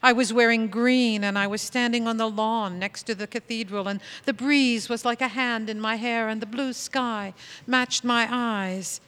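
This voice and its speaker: A woman playing a wry, fond, ageless sorceress who narrates a comic tale in a deliberate, dramatic manner. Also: a little high, fairly even intonation, fairly bright tone, clear, resonant tone, lightly acted. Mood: fond, exasperated. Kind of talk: comic storytelling narration in audio theatre. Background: music.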